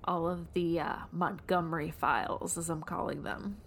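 A woman's voice: on the low side, steady tone, normal speed, creaky, a little hiss or hum. A woman co-hosting a podcast in casual chat, with a playful, self-deprecating way of talking. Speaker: podcast co-host.

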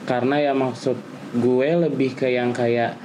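A man's voice: medium pitch, level tone, unhurried, noisy background. A man, talking casually and slipping in English phrases. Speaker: panel participant, event MC. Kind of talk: casual personal talk.

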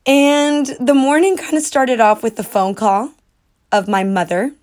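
A woman's voice: fairly high pitch, steady tone, unhurried, darkish tone. A woman in her twenties hosting a solo podcast, talking in a casual, dramatic, self-mocking way with frequent giggles. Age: 20s. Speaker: podcast host.

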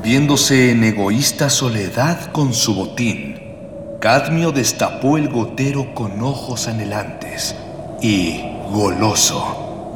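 A man's voice: lowish voice, background noise. An actor playing a florid, pompous male ghost who narrates a radio drama in mock-archaic phrasing. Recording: echoey, long reverb.

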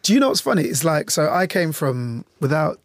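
A man's voice: fairly high pitch, very animated, fairly quick, no echo. Chatty man in a dry recording. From Jamaica, later moved to the UK. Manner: candid, chatty, often voices imagined quotes. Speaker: radio journalist being interviewed. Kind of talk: casual conversational interview, personal anecdotes.

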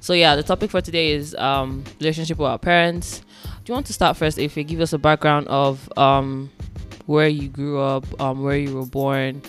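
Nigerian podcast host, mid pitch, friendly, hesitant, faint music. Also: fairly even intonation, moderate pace, fairly bright tone. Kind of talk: casual podcast hosting.

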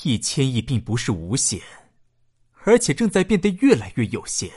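A man's voice: mid-range voice, huge pitch swings, moderate pace, dark tone, slightly rough. A man playing an insistent, alarmed space-fleet data analyst in a science-fiction radio drama.

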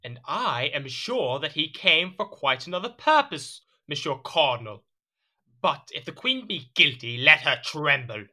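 A man's voice: on the high side, sing-song, measured pace, pushed and bright, heavily acted. An actor playing a petulant, jealous French king in a period audio drama, speaking in an imperious, indignant, theatrical manner. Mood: suspicious.

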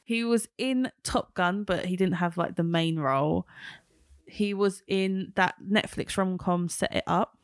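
A woman hosting a casual pop culture podcast, chatty and quick to laugh. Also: fairly low pitch, no room sound, studio quality. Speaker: podcast host.

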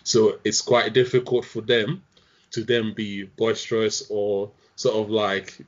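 A man in a casual group discussion, speaking in a measured, reflective way with frequent pauses. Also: fairly low pitch, little pitch movement. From outside the UK. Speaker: panel guest. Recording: dull lo-fi sound.

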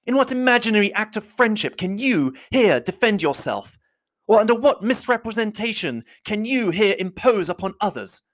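A reader voicing an indignant, proud young woman who accuses in heated, rapid declamation. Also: mid-range voice, animated, pitched above the performer's own voice, close to an everyday voice. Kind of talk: audiobook reading.

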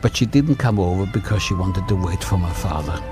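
A man in his seventies giving a personal testimony in a radio interview, speaking in a reflective, measured way with frequent pauses. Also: low-pitched, expressive, over music. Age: 70s.

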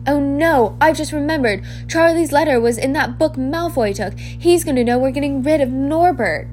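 A woman's voice: high-pitched, normal speed, a little mellow, soft background music, pitched above the performer's own voice, lightly acted. A woman reading aloud voices a worried schoolboy who has just remembered a mistake. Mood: panicked. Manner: panicky, worried.

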